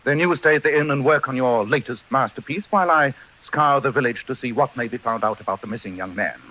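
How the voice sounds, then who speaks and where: An actor playing a courteous, precise English master detective in 1940s radio drama style. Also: male voice, medium pitch, fast talker, background noise, lightly acted. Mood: thoughtful, brisk. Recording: dull 1940s radio recording with music.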